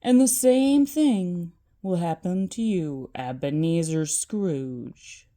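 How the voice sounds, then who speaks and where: A woman's voice: lowish voice, lively intonation, slow, warm tone. A woman voicing a mournful male ghost who moans solemn warnings in a storybook reading. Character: mournful male ghost of a dead business partner.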